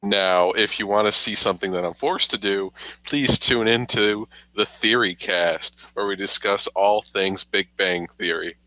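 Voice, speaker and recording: Low-voiced man, very bright and wry. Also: steady tone, measured pace, few pauses, low-quality recording. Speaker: podcast panelist. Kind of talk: casual chat.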